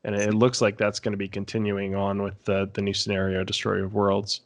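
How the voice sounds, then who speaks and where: A man's voice: fairly low pitch, completely flat delivery, brisk. A man speaking as a podcast panellist in a casual review discussion.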